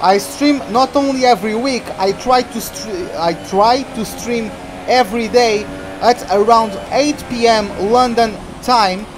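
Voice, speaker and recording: A man from Portugal, streaming a racing game and chatting excitedly through the action. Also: very high voice, measured pace, background noise. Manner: chatty, reacts excitedly to the race. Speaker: gaming streamer. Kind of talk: live game commentary.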